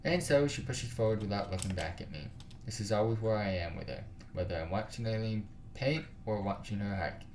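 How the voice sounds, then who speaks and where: A man's voice: fairly low pitch, a little fast, a little mellow, a little hiss or hum. A man reading a visual novel aloud for a gaming video, narrating the story and dropping into casual, slangy, sometimes sweary asides. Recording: roomy echo, music behind. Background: game music.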